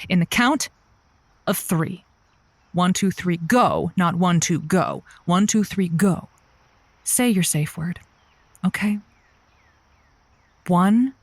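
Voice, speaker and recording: A woman's voice: fairly low pitch, dry recording. A woman playing a chatty radio call-in host in an audio comedy drama, a coaxing, exasperated peacemaker with an emphatic way of talking.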